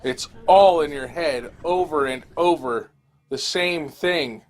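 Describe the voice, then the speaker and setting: A man playing a lonely, weary, haunted apocalypse survivor, telling his story in an amateur audio drama with halting, dramatic pauses. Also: a little high. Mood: brooding, haunted. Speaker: amateur voice actor. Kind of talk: scripted first-person audio drama narration.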